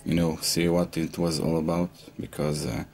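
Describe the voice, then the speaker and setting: A young man from Israel in his twenties, a music student, speaking haltingly with many fillers in an interview. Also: a bass voice, flat delivery, vocal fry, a little mellow. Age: 20s.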